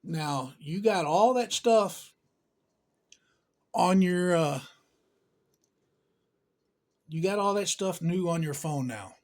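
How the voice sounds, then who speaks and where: A man with a somewhat higher-pitched voice walks through a hands-on tech tutorial. He talks casually and folksily, rambling a little as he goes and using loose, informal grammar. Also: lively intonation, slow, pauses often. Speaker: tutorial presenter.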